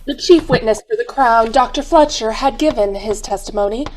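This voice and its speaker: A woman narrating a radio mystery in a measured, formal, dramatic storytelling style. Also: a little high, background noise.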